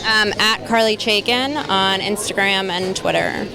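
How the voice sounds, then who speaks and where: A woman's voice: mid-range voice, fairly even intonation, measured pace, gravelly, poor audio. Hesitant woman talking amid a crowd. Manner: hesitant, with many fillers. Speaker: actress guest. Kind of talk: casual carpet interview. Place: outdoor premiere carpet at a venue. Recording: busy crowd behind.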